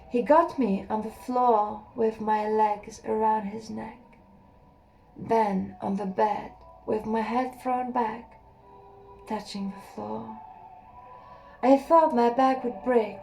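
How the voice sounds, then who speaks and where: A woman reading a first-person erotic story aloud in a slow, intimate way, with soft pauses. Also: fairly high pitch, rough recording. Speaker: audiobook narrator.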